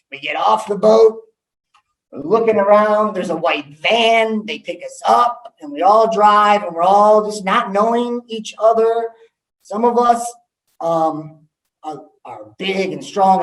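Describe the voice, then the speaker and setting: A man, giving a candid talk to students as an assistant principal, with frequent ums. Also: sits high. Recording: echoey room, voice from far off.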